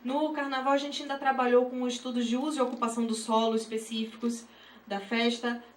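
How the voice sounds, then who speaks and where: A Brazilian woman giving an unscripted academic presentation, halting and repeating words as she goes. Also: a little high, little pitch movement. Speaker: researcher presenting. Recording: echoey room, distant mic, faint noise.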